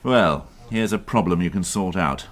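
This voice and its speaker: An actor playing an irascible, sarcastic middle-aged police inspector in a radio crime drama, blustering. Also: male voice, low voice, animated, moderate pace. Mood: irritated, brisk. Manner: blustering, sardonic. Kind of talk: naturalistic radio drama acting.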